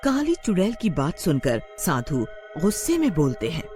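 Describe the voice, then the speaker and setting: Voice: medium pitch, huge pitch swings, moderate pace, darkish tone. A narrator reading a scripted horror story in the manner of a storyteller. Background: background music.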